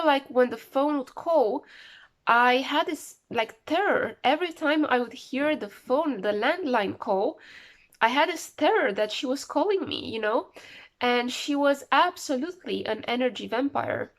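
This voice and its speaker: A woman's voice: high-pitched, expressive, moderate pace. A woman with the flu talking casually to camera, rambling and repeating words for emphasis. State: sick with flu, voice a bit off. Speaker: vlogger.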